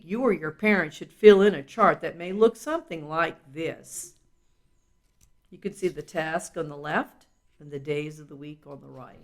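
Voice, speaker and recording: A woman's voice: fairly low pitch, fairly bright tone. A woman reading a children's self-help book aloud in an instructive, explanatory way, with casual asides.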